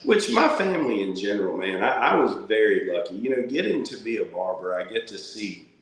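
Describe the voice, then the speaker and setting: A man's voice: on the low side, very animated, a little fast, a little hiss or hum. A middle-aged man, chatting casually as an interview guest in a folksy, plainspoken way. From rural Louisiana, United States. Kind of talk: casual reminiscing conversation. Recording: very echoey, reverberant room sound.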